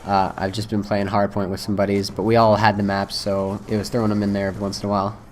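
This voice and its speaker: Young man, hoarse from a cold, low flat delivery, loose and rambling. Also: quick pace, a little hiss or hum. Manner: rambling, many fillers. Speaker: gaming video creator. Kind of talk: casual first-impressions talk.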